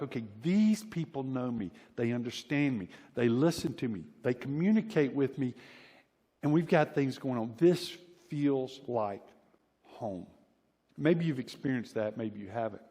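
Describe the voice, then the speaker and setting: Male preacher, middle-aged, Southern US accent, slightly high-pitched, informal storytelling delivery, long hall reverb. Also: darkish tone. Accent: Southern American. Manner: folksy, informal, repeats phrases for emphasis. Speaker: pastor preaching. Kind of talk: conversational sermon with personal anecdotes. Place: church hall, preaching to a congregation.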